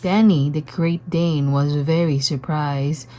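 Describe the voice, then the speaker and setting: A woman reading a children's story aloud as scripted narration, with deliberate, expressive phrasing. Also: sits low. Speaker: storybook reader.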